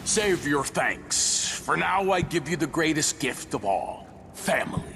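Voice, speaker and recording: A pompous, scheming duke in a video game drama. His voice is gravelly and a little high, theatrical and smugly grand, over clear background noise. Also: expressive, a little slow, a bit bright, exaggerated voice. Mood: smug, ceremonious.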